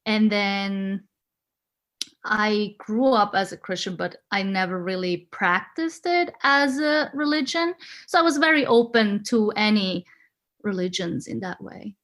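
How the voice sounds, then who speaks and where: A woman's voice: medium pitch, animated, measured pace, fairly bright tone. Woman in her forties, laughing often. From Switzerland. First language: Swiss German and German. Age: 40s. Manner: friendly, laughs often, searches for words. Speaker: guest. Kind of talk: casual interview.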